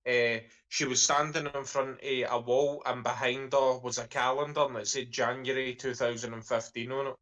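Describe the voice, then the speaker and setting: A man's voice: mid-range voice, flat delivery, moderate pace, few pauses, a bit bright. A man giving blunt opinions in a casual discussion, using slang. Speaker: discussion panelist, security industry worker.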